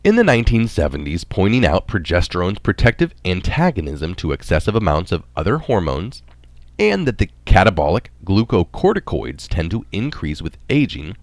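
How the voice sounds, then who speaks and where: A man's voice: sits low, sing-song, moderate pace, no room sound. A man reading a written article aloud in the manner of a narrator. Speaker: reader of recorded articles.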